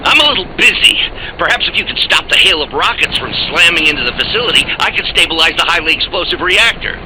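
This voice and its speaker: A man's voice: a little high, animated, fast, background noise, close to an everyday voice. An actor playing a harried, testy male scientist in a video game, exasperated and sarcastic. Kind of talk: video game character dialogue.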